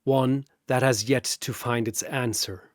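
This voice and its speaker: A man voicing a calm, courteous, world-weary immortal in a fantasy battle story, measured and philosophical. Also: medium pitch, dry recording, clean studio sound, close to an everyday voice. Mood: calm, enigmatic.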